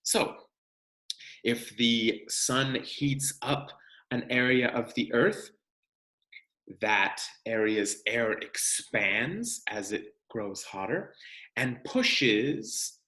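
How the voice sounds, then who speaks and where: A man with a mid-pitched voice reads a children's science book aloud like a teacher. His intonation moves up and down in a lively way as he explains things directly to young listeners. The sound is roomy, with noticeable echo. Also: very slow. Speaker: teacher reading aloud to students.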